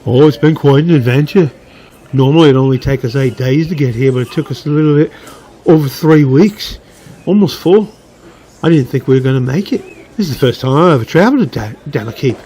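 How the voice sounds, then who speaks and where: A man's voice: medium pitch, a little fast, dark tone, natural voice, low-quality recording. A man playing an easygoing, grumbling delivery hand in a fantasy radio drama, talking in a plain, folksy way. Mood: weary, chatty.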